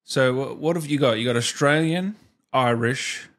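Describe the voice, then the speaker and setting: A man in his thirties hosts a casual chat. His voice has an average male pitch. He talks close to the microphone in a small indoor room. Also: moderate pace, fairly bright tone. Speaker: interview host. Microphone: studio mic close up, with headset.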